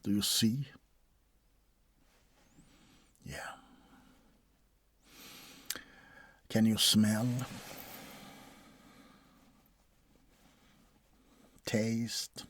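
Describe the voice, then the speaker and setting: A man playing a bored, sardonic, centuries-old vampire doctor in a soft-spoken, deadpan ASMR role-play, often slipping into a whisper. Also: on the low side, slight background noise. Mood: bored, hesitant. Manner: deadpan, hesitant, drawn-out, often dropping to a whisper.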